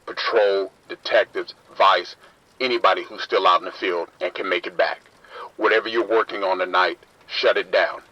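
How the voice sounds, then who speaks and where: An actor playing a police officer calling over the radio, giving brisk commands. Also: male voice, low voice, fairly even intonation, sharp tone, slightly rough, heavily acted. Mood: urgent. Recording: lo-fi radio transmission.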